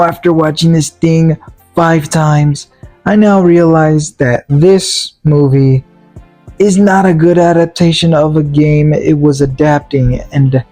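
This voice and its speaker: A man giving a casual, opinionated movie review as a video commentator, in a halting, repetitive, slangy way. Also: fairly high pitch, warm tone.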